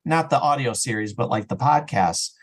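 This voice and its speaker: A man chatting casually as an interview guest, talking in an earnest, animated way about his creative work. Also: medium pitch, moderate pace. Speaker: interview guest, audio drama creator and podcaster.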